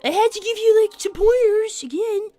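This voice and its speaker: A woman's voice: very high-pitched, normal speed, warm tone, dry recording, soft background music. A woman playing a smug, precocious young cartoon fox boy co-hosting an in-character livestream with matter-of-fact, needling chat. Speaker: livestream co-host.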